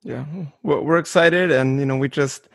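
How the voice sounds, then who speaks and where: A man's voice: medium pitch, moderate pace, vocal fry, a bit bright, no room sound, studio quality. A man chatting casually about sports as an interview host.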